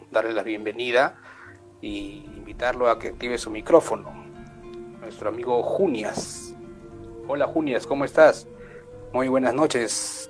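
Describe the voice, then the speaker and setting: A Peruvian man hosts a live online show in a relaxed, welcoming way, his speech full of filler words. He sits at an everyday middle pitch. Quiet music plays faintly beneath his voice. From Peru. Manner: warm welcoming with frequent filler "eh" and "este". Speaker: host. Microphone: video call.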